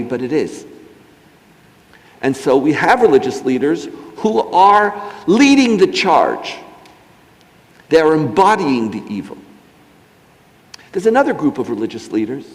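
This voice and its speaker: A man, a rabbi on a panel, speaking emphatically and outspokenly. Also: fairly high pitch. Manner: emphatic, outspoken, mocking in asides. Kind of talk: impassioned panel talk. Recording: reverberant hall.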